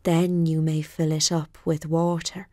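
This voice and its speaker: A woman voicing a kindly male spirit of a well in a children's storybook, soft and half-whispered, giving careful instructions. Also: deep voice, little pitch movement, unhurried, no room sound, clean studio sound. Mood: gentle, reassuring.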